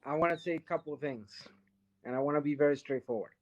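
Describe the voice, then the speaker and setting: A woman's voice: low voice, quick pace, a little mellow, dull audio. A woman from Latin America making an emphatic, lecturing case during a live-stream debate. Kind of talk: impassioned live-stream argument.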